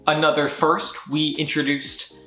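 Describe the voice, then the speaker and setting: A man's voice: on the high side, flat delivery, unhurried, sharp tone. A man hosting his channel's casual year-end recap, rambling and breaking off into jokey asides. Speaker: channel host. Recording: roomy echo with faint music.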